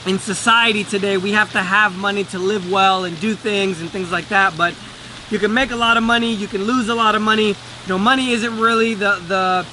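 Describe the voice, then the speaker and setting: A man's voice: high-pitched, fairly even intonation, fairly quick, bright, forceful tone, a little hiss or hum. A man giving a casual, earnest motivational talk to camera, repeating words for emphasis. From Venezuela. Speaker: day trader and trading teacher.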